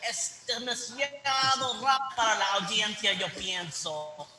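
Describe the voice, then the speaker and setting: Halting man, high and very bright. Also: steady tone, a little hiss or hum. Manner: halting, searching for words. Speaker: speech evaluator. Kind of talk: online club speech evaluation. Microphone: video call. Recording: echoey room, distant mic.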